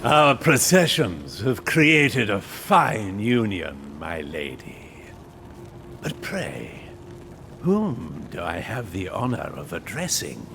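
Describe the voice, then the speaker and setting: Gravelly, slow undead knight. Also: male voice, fairly low pitch, huge pitch swings, pushed and bright, slight background noise, full character voice. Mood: courtly. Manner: courtly, pompous, theatrical, deliberate. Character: proud, menacing undead knight, ghostly warlord. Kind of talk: video game cutscene acting.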